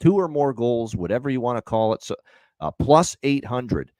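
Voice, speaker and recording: A man's voice: mid-range voice, moderate pace, no echo. A man hosting a sports betting show, reading promos and picks with lots of "uh" fillers and repetition. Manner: energetic, repeats himself, many "uh" fillers. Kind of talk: solo podcast promo and picks.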